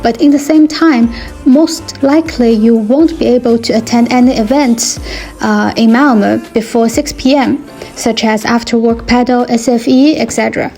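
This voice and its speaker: A young woman from China, a native Chinese speaker, calmly explaining things in a scripted vlog narration. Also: on the high side, normal speed, soft background music. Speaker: vlogger.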